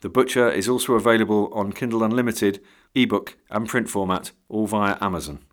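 A man's voice: lowish voice, brisk. A man reading a scripted promotional announcement as a podcast announcer.

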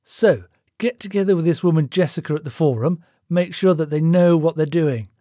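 A man's voice: on the high side, moderate pace, warm tone, no echo, clean studio sound, close to an everyday voice. A man voicing a brash, bossy male office boss in an audiobook story, blunt and matey as he gives orders.

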